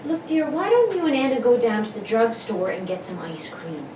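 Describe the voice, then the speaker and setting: An anxious housewife played by a woman in vintage radio style, theatrical and hesitant, with a very animated melody. She is gentle yet firm. The recording is roomy and noisy. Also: on the high side, normal speed. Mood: puzzled. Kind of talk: 1950s radio drama acting, amateur read-through. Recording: roomy, distant mic, clearly noisy.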